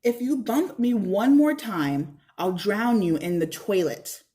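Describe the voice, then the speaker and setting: A woman's voice: mid-range voice, animated, slow pace, dark tone. A woman voicing a bickering, sarcastic young girl with a snappy, taunting manner in a children's storybook. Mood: threatening, indignant. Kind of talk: children's storybook read-aloud.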